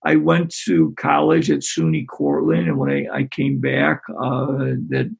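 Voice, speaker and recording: A middle-aged man from Western New York, a basketball coach, talking in a rambling, halting way with many restarts. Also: a little high, little pitch movement. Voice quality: gravelly, husky. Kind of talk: relaxed interview conversation.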